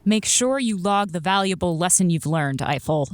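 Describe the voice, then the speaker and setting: A woman's voice: fairly low pitch, animated, normal speed, heavy background noise, close to an everyday voice. An actress playing a stern female space station commander who talks in a curt, dryly authoritative way. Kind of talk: audio drama acting.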